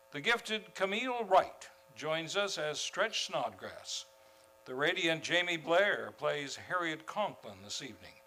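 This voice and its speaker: Casting: male series host, theatrical old-time radio announcer, higher-pitched and very bright. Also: rough recording. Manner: theatrical, grandly formal. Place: theater stage, before a live audience.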